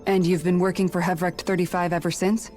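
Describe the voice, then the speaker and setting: Actress doing a composed game heroine, fast talker, curious, music under it. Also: female voice, on the low side, little pitch movement, a little mellow, close to an everyday voice. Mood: inquiring. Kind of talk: video game cutscene voice acting.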